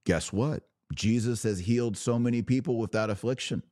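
A man's voice: medium pitch, moderate pace, darkish tone, no room sound, clean studio sound. An American man hosting a podcast, giving impassioned off-the-cuff religious commentary in a preachy, emphatic way with punchy phrases. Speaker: podcast host taking viewer questions.

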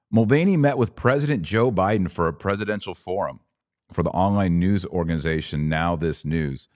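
A man's voice: low voice, darkish tone, no echo, clean studio sound. An American man hosting a podcast, delivering opinion commentary in an emphatic, preachy manner full of rhetorical questions. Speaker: podcast host.

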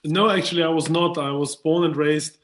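A man's voice: fairly high pitch, steady tone, fairly quick. A young man in his twenties with an Austrian German accent, speaking English as a non-native, answering as a radio guest in a hesitant way with many restarts. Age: 20s.

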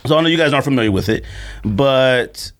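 A man's voice: mid-range voice, a little fast. A man co-hosting a podcast, telling stories casually in slangy speech and acting out what people said. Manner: slangy, animated storytelling, acting out quotes. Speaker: podcast co-host. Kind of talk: casual podcast chat and storytelling.

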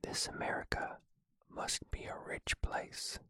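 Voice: slow, lightly acted. A narrator whispering the lines of a cheerful, eager male bandit who speaks in a jaunty, formal way. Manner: jaunty, grandly formal speech.

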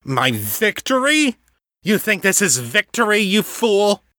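A man voicing a brooding male dark lord who rants in anguish and breaks into sobs, in a storybook reading. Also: mid-range voice, expressive, takes it slowly, slightly rough, dry recording, clean studio sound, exaggerated voice. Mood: furious.

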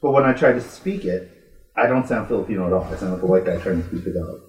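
A man's voice: low-pitched, lively intonation, fast talker, reverberant, low-quality recording. A man co-hosting a podcast in casual banter, teasing his co-host and laughing often.

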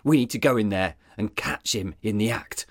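A man voicing a hot-tempered male police chief who barks and shouts his orders. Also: fairly low pitch, moderate pace, no room sound, studio quality. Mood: angry. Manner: barking, shouting.

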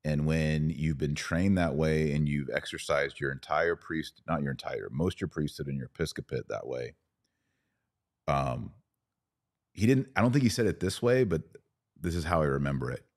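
A man's voice: extremely low pitch, moderate pace. A man in a casual podcast conversation, hosting and talking in a reflective, anecdotal way. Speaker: podcast host.

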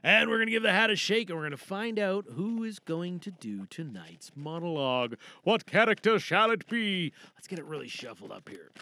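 A man's voice: high voice, sing-song, moderate pace, few pauses, pushed and bright. A man hosting a podcast from a booth in a showy announcer-like way, chatting casually and rambling to himself. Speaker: host. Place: recording booth.

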